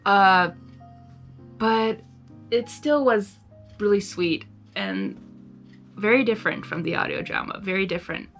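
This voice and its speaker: A woman's voice: medium pitch, unhurried, long pauses, fairly bright tone. Female fan-channel host, mid-range voice, informal and gushing, over faint music. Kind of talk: casual reaction chat between readings.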